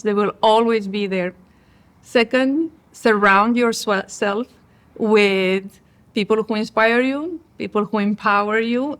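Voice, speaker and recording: Reflective woman from Croatia. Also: mid-range voice. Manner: thoughtful, with many restarts and fillers. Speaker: cardiologist guest. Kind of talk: conference interview.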